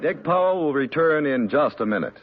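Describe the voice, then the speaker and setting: A man's voice: a little high, animated, brisk, loud music behind the voice. A man announcing a commercial on 1950s radio, upbeat and salesy. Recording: muffled old radio broadcast.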